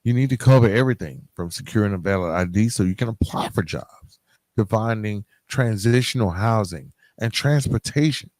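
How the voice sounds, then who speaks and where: A man's voice: lowish voice, lively intonation, moderate pace, a little mellow, no echo. A man hosting a podcast solo, speaking in an earnest, emphatic motivational style straight to his listeners, repeating key phrases. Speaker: podcast host.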